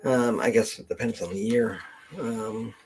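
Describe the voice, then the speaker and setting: A man with a gravelly, husky voice, hosting a casual question-and-answer chat and haltingly thinking aloud. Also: fairly low pitch, fairly even intonation, a little mellow, slight background noise, low-quality recording. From Canada. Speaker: host and genealogist taking questions.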